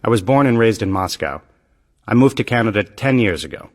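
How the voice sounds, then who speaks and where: A man reading scripted practice dialogue for English learners in a measured, clearly spoken way. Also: lowish voice, animated, long reverb tail. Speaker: dialogue reader in English lessons.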